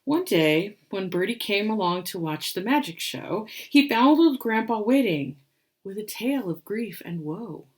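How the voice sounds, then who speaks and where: Woman doing dramatic storytime reading, rhyming picture book, kinda theatrical, middle pitch. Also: a little slow. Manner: expressive, theatrical delivery. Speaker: librarian and storyteller, host.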